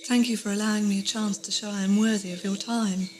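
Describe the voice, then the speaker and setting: A woman's voice: medium pitch, steady tone, fast talker, a little hiss or hum, natural voice. A woman playing a devoted, coolly practical assistant to a villain in an audio drama.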